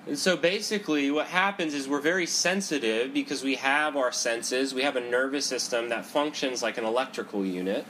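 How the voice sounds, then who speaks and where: A man of ordinary pitch, talking loudly through a head cold. He teaches a seated group about meditation in a relaxed, conversational way. He keeps checking in with "right?" and "you know.". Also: fairly quick, hardly any pauses, a little hiss or hum. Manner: loud talker. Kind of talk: Buddhist psychology talk to a group. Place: indoor room with a group, seated cross-legged.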